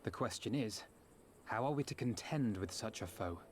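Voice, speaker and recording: A man acts a coolly analytical strategist in a fantasy game. His speech is formal and composed, in a fairly low voice with faint noise behind it. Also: lively intonation, normal speed, close to an everyday voice. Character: measured, erudite male strategist, coolly analytical. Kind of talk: dramatic video game voice acting.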